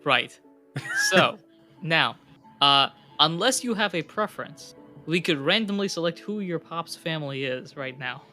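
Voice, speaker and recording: Bright-voiced young game master. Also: male voice, on the high side, expressive, a little slow, faint music behind. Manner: casual, filler-heavy narration. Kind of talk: narrating a tabletop game.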